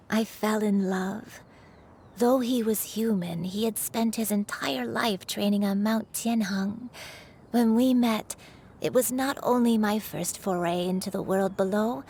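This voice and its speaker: A woman voicing a dignified, remorseful elderly crane spirit in human form in a video game, telling her story in a measured, solemn way. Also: mid-range voice, creaky, darkish tone, a little hiss or hum. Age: older.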